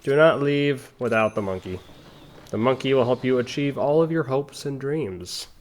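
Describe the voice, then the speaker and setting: A young man casually commenting on his game playthrough as host, rambling through chatty side stories with lots of ums and restarts while calmly guiding the viewer. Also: medium pitch, normal speed, soft background music. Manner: relaxed, laid-back guiding of the viewer. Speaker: gaming channel host. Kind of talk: casual let's-play commentary.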